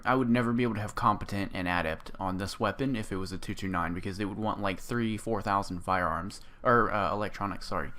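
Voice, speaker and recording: Gaming creator, male, voice sitting a bit low, hoarse from a sore throat, loose and rambling delivery. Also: fairly bright tone. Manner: rambling, full of ums and restarts. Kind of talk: casual gameplay build walkthrough.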